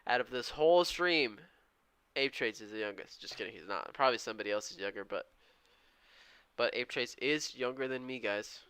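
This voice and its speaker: A man's voice: mid-range voice, moderate pace, a bit bright, clean tone. A man in his twenties chatting casually on a live trading stream, reading out viewer comments and thanking people in an upbeat, slangy way. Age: 20s. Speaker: live-stream day trader.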